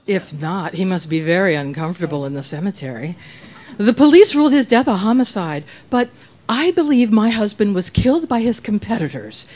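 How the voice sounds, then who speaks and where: A woman's voice: mid-range voice, lively intonation, brisk, a little mellow, close to an everyday voice. A woman playing a sultry, composed widow in her thirties, a coy femme fatale in a radio play. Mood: poised. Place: live performance on a cruise ship.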